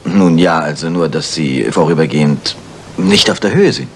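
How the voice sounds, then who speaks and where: An actor playing an exasperated, patronising police chief inspector in a German crime radio play. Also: male voice, a bass voice, normal speed, a little hiss or hum. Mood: placating. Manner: incredulous, placating.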